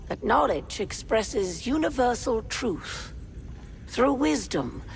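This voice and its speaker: A reverent female hermit scholar in a video game. Her voice sits a little high and moves slowly, with a creaky edge. She phrases everything formally and solemnly, with measured calm. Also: exaggerated voice. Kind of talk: scripted video game dialogue.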